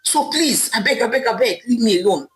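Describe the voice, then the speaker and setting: A woman's voice: on the high side, animated, fairly quick, faint music behind, lightly acted. A woman voicing an angry husband who mocks and scolds his wife. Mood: angry scolding. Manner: scolding, mocking. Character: scornful husband, angry and dismissive.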